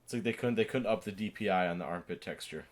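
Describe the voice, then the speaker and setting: A man's voice: low-pitched, quick pace. A man hosting a gaming show, giving casual, chatty, musing commentary as he plays with a co-host. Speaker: host of a let's-play show. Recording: game music behind the voice. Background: video game music.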